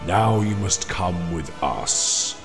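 Demon priest, male: extremely deep, slow, solemn menace, formal commands, music behind. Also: sing-song, vocal fry, full character voice. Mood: menacing. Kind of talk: audio drama acting.

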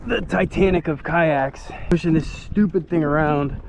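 A young American man giving casual, excited running commentary to his viewers while fishing from a kayak outdoors, picked up by a camera mounted on the boat. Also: fairly high pitch, measured pace, creaky, noisy background. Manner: excited, loose, swears casually, talks to viewers as guys. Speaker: fishing vlogger. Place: kayak on open shallow water outdoors. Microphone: action camera mounted on kayak.

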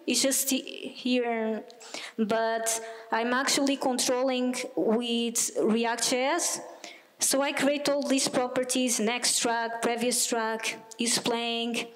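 A young woman giving a technical talk with a live demo, explaining step by step in a halting way. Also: a little high, flat delivery. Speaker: conference speaker, product designer. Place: small indoor meetup venue, on stage before seated audience. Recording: long hall reverb.

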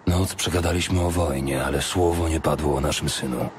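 Very deep-voiced noble paladin. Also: male voice, fairly even intonation, brisk, background noise. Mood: grave, worried. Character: noble paladin warrior, earnest, wryly teasing. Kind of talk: dramatised audiobook acting.

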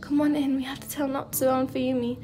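A nervous younger brother in a fan story, played by a woman, eager and emotional. The voice sits high, with faint music underneath. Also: little pitch movement, fairly quick, mellow, lightly acted. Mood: nervous eagerness. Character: emotional young man welcoming his lost brother.

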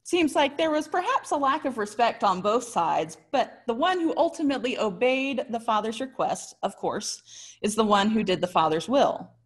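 An American woman in her thirties giving a prepared but conversational sermon as a pastor, with expressive, articulate storytelling. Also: fairly high pitch, moderate pace, slightly rough. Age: 30s. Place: small indoor room.

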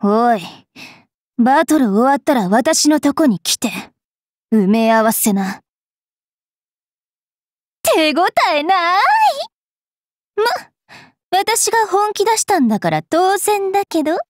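High, haughty bratty fairy witch girl. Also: lively intonation, slow pace, pauses often, no room sound, studio quality. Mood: taunting, aggressive. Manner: haughty, taunting, rough-tongued. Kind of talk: Japanese anime game character voice lines.

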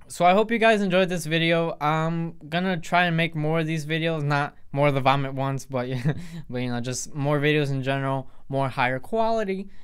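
A teenage boy talks straight into the camera, rambling through a story with plenty of "you know"s and false starts. His voice is smooth and clear, sitting a little high for a guy. He sounds like he is recording a casual vlog at home. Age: teens. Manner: rambling, full of fillers and restarts. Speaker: vlogger. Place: indoors in front of a plain grey backdrop.

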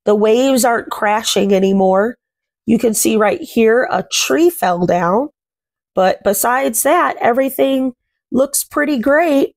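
A woman's voice: a little high, takes it slowly, dry recording. A young woman reading a picture book aloud to small children, expressively and with sing-song phrasing, chatting about it as she goes. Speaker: children's read-aloud host. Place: small indoor room at home.

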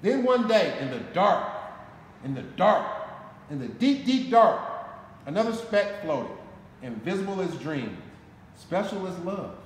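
A man in his forties with an American accent reads a children's story aloud in a deliberate, dramatic way, with emphatic phrasing. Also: sits high, sing-song. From Detroit, United States. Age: 40s. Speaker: firefighter reading a picture book aloud. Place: concrete-floored fire station garage, indoors. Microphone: camera a short distance away. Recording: echoey hall, noticeable background noise.

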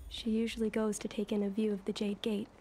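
A woman voicing an earnest, determined young girl who hopes to be a pilot, speaking in a measured way in video game dialogue. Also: medium pitch, flat delivery, mellow, natural voice. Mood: subdued. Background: game music.

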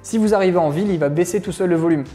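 A man talks quickly in a soft-edged, mellow voice. He explains gear conversationally, as a reviewer speaking to camera, with music playing behind him. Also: sits high. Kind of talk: casual product review to camera. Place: small indoor room at a desk.

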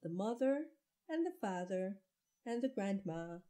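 Slow-paced, mellow woman reading a storybook. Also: medium pitch, very animated. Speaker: children's story reader. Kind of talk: read-aloud storybook narration.

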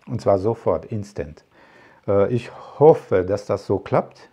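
A man's voice: lowish voice, expressive, slow, warm tone, slightly rough. A man talking casually to camera as a tech vlogger, rambling with many fillers and asides. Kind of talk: casual product talk to camera.

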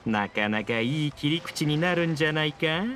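A man's voice: on the high side, moderate pace, close to an everyday voice. An actor playing a pushy, smug middle-aged male reporter in a video game, with an oily, scornful way of talking. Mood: sneering, smug. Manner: oily flattery turning to sneering scorn. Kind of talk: dramatic Japanese video game voice acting.

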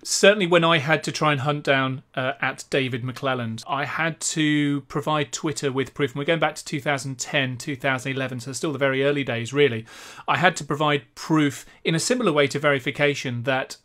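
A man in his forties, a podcast co-host, explaining things in a measured, articulate way during casual tech chat. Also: medium pitch, sharp tone. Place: small home office.

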